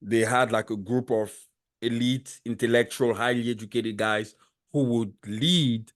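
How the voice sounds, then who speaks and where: Man, podcast host, non-native English, mid pitch, earnest, argumentative, restarts sentences. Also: fairly even intonation, unhurried. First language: not English. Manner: earnest, argumentative, building points step by step; frequent restarts and repeated words. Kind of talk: casual interview chat.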